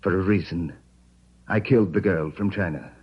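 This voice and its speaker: Suave, low-voiced radio villain. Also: male voice, normal speed, husky. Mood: coldly menacing. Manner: formal, precise, insinuating. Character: suave, cold, menacing gentleman villain and dealer. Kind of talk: 1950s radio drama acting. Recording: dull, muffled vintage radio recording.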